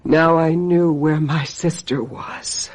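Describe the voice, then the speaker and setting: A low, husky female voice playing a vengeful witch in a radio mystery. She is coldly seductive and deliberate, coating her menace in mock sweetness. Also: steady tone, a little mellow. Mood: haunted, intense. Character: vengeful, scheming woman, a self-styled witch, coldly seductive. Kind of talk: old-time radio mystery acting.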